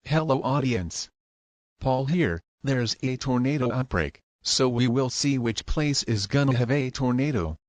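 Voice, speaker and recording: A man's voice: mid-range voice, animated, measured pace, long pauses, no echo. A man presenting casually to an audience in a scripted video.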